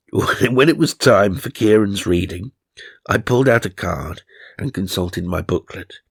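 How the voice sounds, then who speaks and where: Low, creaky British man reading tongue-in-cheek. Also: slow pace, pauses often, no room sound, studio quality. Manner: mock-serious, sardonic asides. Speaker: narrator and host. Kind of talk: comic reading of magazine stories with asides.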